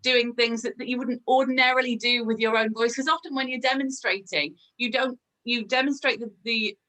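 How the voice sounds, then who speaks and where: A woman hosting a relaxed interview and asking long, rambling questions. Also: on the high side, fairly quick, low-quality recording. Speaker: podcast host. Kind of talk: casual interview chat.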